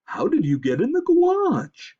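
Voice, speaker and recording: A storyteller voicing a slow, plaintive male moose. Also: high-pitched, wildly swooping pitch, dark tone, husky, pitched above the performer's own voice, full character voice. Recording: dull lo-fi recording.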